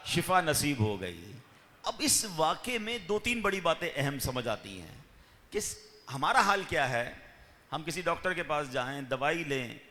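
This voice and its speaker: A man preaching a sermon, fervent, with raised peaks and slow drawn-out chanting. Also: on the high side, pushed and bright. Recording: long hall reverb.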